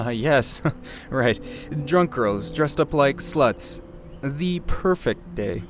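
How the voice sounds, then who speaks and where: A man as a slow-talking, joking sound man. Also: medium pitch, expressive, background noise. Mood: teasing. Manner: casual, slangy, teasing. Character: slangy, joking young male sound man of a ghost show. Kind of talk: horror audio drama acting.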